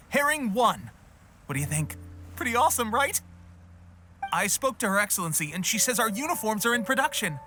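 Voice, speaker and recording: A man's voice: high-pitched, bright, forceful tone, heavily acted. A man playing an eager, boastful, friendly young soldier in a video game. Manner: boastful, enthusiastic, jaunty. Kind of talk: animated video game voice acting. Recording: music behind the voice.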